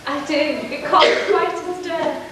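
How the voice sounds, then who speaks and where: A woman's voice: high-pitched, slow. A woman playing a proud, honest young shoemaker's daughter in an amateur pantomime, recorded in an echoey hall. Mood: polite, puzzled. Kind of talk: amateur pantomime stage acting. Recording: echoey hall, distant mic, clear noise.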